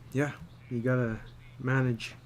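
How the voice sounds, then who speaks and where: A man giving a casual drawing tutorial, thinking aloud in a halting, muttering way as he works. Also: mid-range voice, little pitch movement, a little hiss or hum. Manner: halting, muttering to himself while working. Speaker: storyboard artist, tutor.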